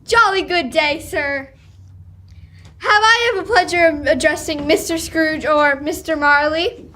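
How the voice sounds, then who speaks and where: An earnest, courteous charity collector in a radio play, acted by a young woman. The voice is high and bright, and the words come slowly and formally. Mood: cheerful, polite. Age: teenager or young adult. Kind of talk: live old-time radio drama reading.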